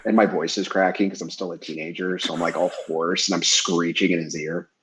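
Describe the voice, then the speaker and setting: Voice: low-pitched, fairly even intonation, brisk, a bit bright, slightly rough, low-quality recording. A player at a tabletop game, telling a story with lots of animation. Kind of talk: casual chat at a game table.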